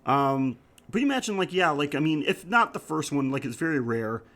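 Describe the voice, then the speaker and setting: A man's voice: fairly high pitch, expressive, fairly quick, a bit bright. A man hosting a podcast, chatting casually about film in an enthusiastic, rambling way. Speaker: podcast host. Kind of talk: casual film review chat.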